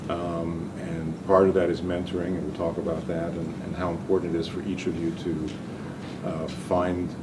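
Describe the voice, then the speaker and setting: A man's voice: sits low, level tone, moderate pace. An older man from Hungary, a physician and researcher giving a talk, speaking hesitantly with many ums and restarts. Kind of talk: lecture-style talk. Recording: distant mic with audible room noise.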